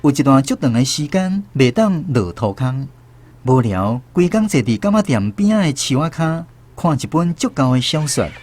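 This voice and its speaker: A man's voice: medium pitch, big pitch swings, normal speed, a little mellow. A man with a Taiwanese accent narrating a radio drama, playing a grown man who recalls his village childhood. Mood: reminiscing. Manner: storytelling, reminiscing.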